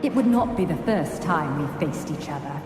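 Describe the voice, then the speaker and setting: An actress playing a proud, haughty rival witch in a video game cutscene, with a mocking, deliberate delivery. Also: sits low, expressive, over music. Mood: challenging.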